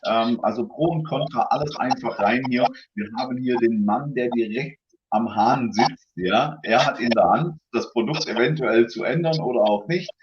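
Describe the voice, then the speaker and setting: A man's voice: medium pitch, moderate pace, muffled recording, a little hiss or hum. A man hosting a casual livestream tasting, chatty and full of fillers, greeting viewers by name.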